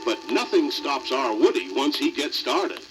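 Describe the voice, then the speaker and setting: A man's voice: mid-range voice, expressive, a little slow, over loud music, close to an everyday voice, rough recording. A man playing the storyteller of a children's cartoon adventure, narrating from a script on an old record. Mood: lively.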